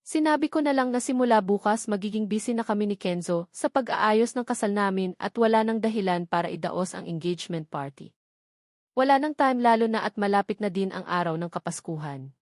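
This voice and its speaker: A woman's voice: medium pitch, animated, brisk, darkish tone, no echo, studio quality. A woman with a Filipino accent reading a first-person novel as a scripted narration. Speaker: audiobook narrator.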